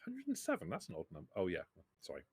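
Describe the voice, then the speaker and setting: A man's voice: sits low, wildly swooping pitch, fast, breathy edge, no room sound. Dry, close-sounding man running a game. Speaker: game master. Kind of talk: casual tabletop game narration.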